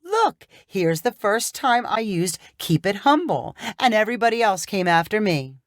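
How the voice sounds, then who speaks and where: A woman's voice: mid-range voice, very animated, moderate pace, no room sound, clean studio sound, natural voice. A woman voicing a chatty, self-promoting would-be influencer in a breezy, self-assured story-reading voice. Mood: excited, boastful.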